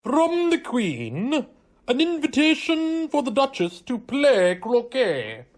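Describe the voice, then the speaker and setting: A woman's voice: high-pitched, wildly swooping pitch, slow, exaggerated voice. A woman playing a dim, stubborn frog footman in a radio play, pedantic, rambling and uselessly literal. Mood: formal. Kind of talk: theatrical radio play acting.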